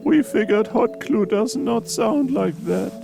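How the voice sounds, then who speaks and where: A man's voice: sits high, measured pace, creaky, voice pushed up. A man voicing a blunt, sarcastic male sidekick who makes cutting remarks, with music playing behind. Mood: dry. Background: background music.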